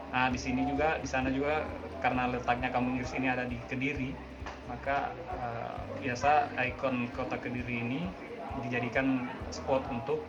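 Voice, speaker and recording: A man hosting a casual vlog and online interview, friendly and chatty, often pausing with hesitations. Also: mid-range voice. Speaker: host. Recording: dull lo-fi sound with music behind.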